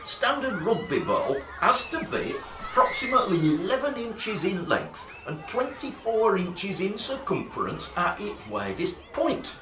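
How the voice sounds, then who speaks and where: An actor playing a fussy, bookish schoolboy in a radio play, speaking in a posh, precise way. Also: male voice, sits high, wildly swooping pitch, unhurried, over music, crowd noise, full character voice. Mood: pedantic complaint. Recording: echoey, distant, hissy.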